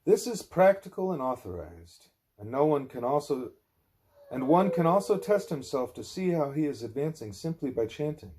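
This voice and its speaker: A man with a measured, plain-spoken way of talking, reading aloud or speaking as a parent at home. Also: fairly high pitch, big pitch swings, mellow. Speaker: reader, parent putting a child to bed. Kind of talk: reading a religious text aloud, with quiet parental chat.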